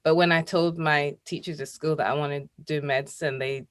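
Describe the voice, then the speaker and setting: A Scottish woman in her thirties, a dermatologist guest on a video call, friendly and quick to laugh. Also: deep voice, fairly even intonation, no room sound. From Scotland. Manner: friendly, laughs easily, quick agreeing asides. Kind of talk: casual interview chat.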